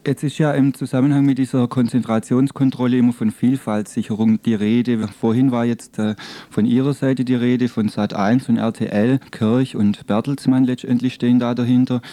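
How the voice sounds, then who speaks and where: A man's voice: mid-range voice, fairly even intonation, moderate pace, warm tone. A man working as a radio journalist, presenting reports and putting interview questions.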